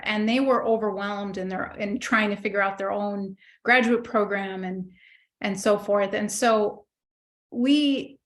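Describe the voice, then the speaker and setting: A middle-aged woman born in Egypt, a guest in an interview, telling her life story thoughtfully with many pauses and restarts. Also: mid-range voice. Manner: thoughtful, many fillers and restarts, warm recollection. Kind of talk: reflective personal storytelling.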